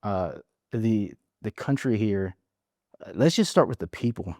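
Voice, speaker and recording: A man's voice: fairly low pitch, lively intonation, measured pace, darkish tone, dry recording, clean studio sound. A man from Hawaii in his forties, a podcast guest frankly telling his story and often restarting his words. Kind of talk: casual interview storytelling.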